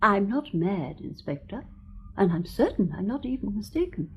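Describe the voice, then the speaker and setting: A woman's voice: medium pitch, big pitch swings, moderate pace, husky. An actress playing a dainty, genteel elderly widow who tells her story in earnest, precise sentences in a radio drama. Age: older. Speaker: witness.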